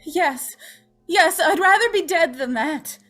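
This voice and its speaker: An actress playing a frightened, gentle young woman, tormented and pleading, in old-time radio horror style. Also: extremely high pitch, animated, slow pace, faint music behind, exaggerated voice. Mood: anguished, defiant. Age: young adult. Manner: pleading, tremulous, dramatic.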